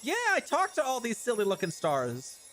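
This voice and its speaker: A man's voice: high voice, huge pitch swings, moderate pace, fairly bright tone. A man giving livestream reaction commentary on a game, talking to himself and guessing aloud. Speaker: game streamer. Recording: music behind the voice.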